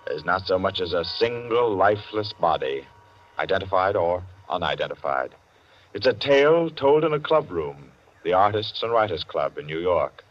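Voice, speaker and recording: Low-voiced 1940s radio suspense announcer. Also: male voice, sing-song. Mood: suspenseful. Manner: measured, dramatic delivery. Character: suave male narrator of suspense tales. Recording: dull old 1940s radio recording, music behind. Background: dramatic music.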